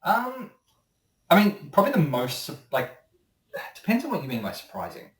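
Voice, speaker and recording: A man's voice: fairly high pitch, huge pitch swings, normal speed. A man chatting casually as a voice actor being interviewed, rambling and opinionated. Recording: roomy echo, distant microphone.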